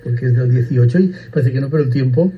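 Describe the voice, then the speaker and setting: A man's voice: mid-range voice, fast talker, slightly rough. Chatty radio co-host, man, muffled. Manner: opinionated, chatty. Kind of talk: radio chat.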